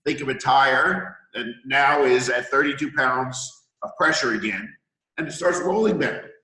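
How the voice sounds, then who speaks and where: A middle-aged man with a hoarse voice from a cold, hosting an interview as a healer and explaining things at length with analogies. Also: medium pitch, normal speed, bright, forceful tone, reverberant, low-quality recording. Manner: confident, lecturing with analogies. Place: room in Thailand.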